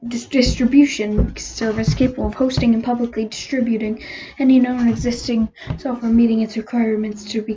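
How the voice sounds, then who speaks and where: A woman reading a scripted document aloud as narrator, haltingly, often stumbling over numbers. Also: on the high side, mellow. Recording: muffled lo-fi home recording, clear noise.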